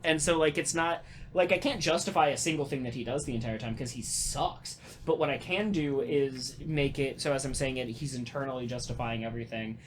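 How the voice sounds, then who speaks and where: A man chatting casually as a podcast host, telling stories in an animated, halting way with casual swearing. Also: medium pitch, a little hiss or hum. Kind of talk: casual podcast chat and storytelling.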